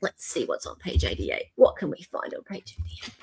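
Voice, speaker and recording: A woman's voice: normal speed, a little mellow. A woman with an Australian accent hosting a casual panel livestream and commenting as she goes. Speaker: livestream host.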